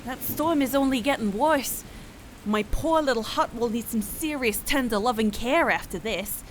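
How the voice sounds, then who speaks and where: A woman's voice: fairly high pitch, moderate pace, a little hiss or hum, lightly acted, rough recording. A woman voicing a doting, teasing big-sister wine goddess in a fantasy audio drama, with a sing-song, coddling manner.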